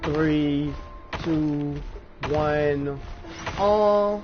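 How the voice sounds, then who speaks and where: Male gamer, casual self-talk and counting, very slow, dull audio with music. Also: a little high. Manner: muttering to himself, counting aloud. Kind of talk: casual gameplay commentary. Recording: dull game-stream audio with music behind. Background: clear game music.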